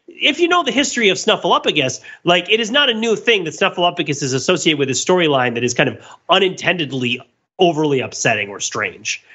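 A man hosting a casual comedy podcast, retelling stories in an animated, rambling way full of tangents. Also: on the high side, brisk, breathy edge. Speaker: podcast host. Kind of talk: casual comedic pop-culture discussion. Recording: dull lo-fi podcast audio.

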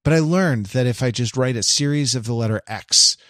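A man co-hosting a podcast in casual chat, halting and tending to trail off. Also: mid-range voice, a bit bright, no echo, clean studio sound. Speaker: podcast co-host.

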